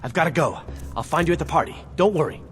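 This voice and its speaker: An actor playing a wary, grudging conspirator in a video game, speaking bluntly and skeptically. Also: male voice, on the high side, animated, fast, a bit bright, big-room sound, soft background music. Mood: businesslike, terse. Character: wary, grudging male conspirator smuggling gear. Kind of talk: scripted video game dialogue.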